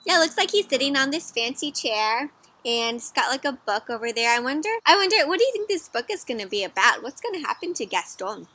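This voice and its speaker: A woman's voice: high-pitched, very animated, a little fast, bright, forceful tone. A young woman doing an interactive storybook read-aloud for children, speaking expressively and putting questions to her listeners. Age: young adult. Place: outdoors in a garden or patio.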